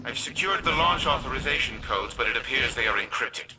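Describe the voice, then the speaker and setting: An actor playing a precise, slightly hesitant technical specialist in formal game mission dialogue. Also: male voice, mid-range voice, animated, fairly quick, lightly acted, poor audio. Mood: intrigued. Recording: clear music underneath.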